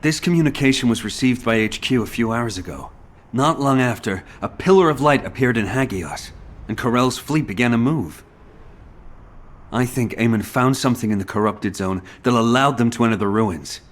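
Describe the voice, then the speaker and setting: A man voicing a brisk, self-assured military operative giving a businesslike briefing in a video game. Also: medium pitch, lightly acted. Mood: confident. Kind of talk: video game character acting.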